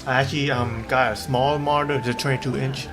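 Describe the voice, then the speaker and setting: Casting: male livestreamer, casual gameplay chatter, average pitch, halting and repetitive, clear music underneath. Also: fairly even intonation. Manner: halting, repetitive, rambling delivery. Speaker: game livestreamer. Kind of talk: casual livestream chat while playing. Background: game music.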